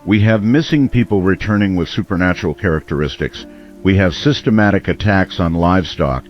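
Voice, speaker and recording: An actor playing a solemn, earnest late-night small-town radio host in a scripted radio drama. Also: male voice, low-pitched, fairly quick, close to an everyday voice. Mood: grave, concerned. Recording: dull band-limited radio sound, music behind.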